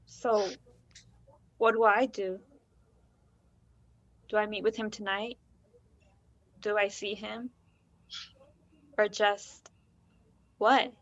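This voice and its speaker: A woman's voice: medium pitch, level tone, very slow, pauses often, a bit bright, heavily acted. An actress playing a hurt, uncertain woman in a stage play, subdued and hesitant, in muffled audio. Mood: lost, hesitant.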